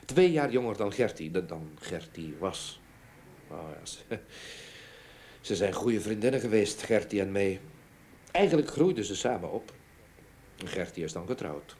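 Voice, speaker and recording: A genteel middle-aged businessman in an old radio play. His deep-set voice swings widely in melody as he hesitantly recalls the past with melancholy. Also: a little mellow, a little hiss or hum. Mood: subdued, wistful. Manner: hesitant, reminiscing. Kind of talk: old radio drama acting.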